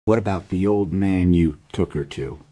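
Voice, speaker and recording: An actor playing a patient, probing police detective in an audio drama, gently insistent in manner. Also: male voice, deep voice, no room sound. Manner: measured, gently insistent questioning.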